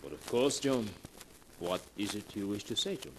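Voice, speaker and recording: A suave and sinister charmer from an old radio drama, voiced by a man. The voice sits very low and smooth, purring each word with quiet menace. A faint hiss rides under it. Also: normal speed, natural voice, low-quality recording. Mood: silky. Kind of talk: 1940s radio acting.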